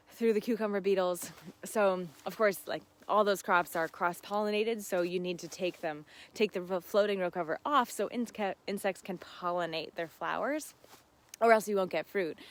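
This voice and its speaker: A woman's voice: on the low side, a little hiss or hum. An American woman from Ohio hosting a casual live gardening Q&A, answering viewers in an effusive, exclamatory, giggly manner. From Ohio, United States. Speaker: host of a live gardening Q&A, seed company owner.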